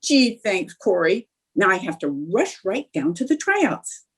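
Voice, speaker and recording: A woman playing an eager, scheming, chatty young housewife in an old-time radio comedy, with a husky voice. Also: mid-range voice, sing-song, a little slow, full character voice. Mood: eager, conspiratorial. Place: indoors, remote video call.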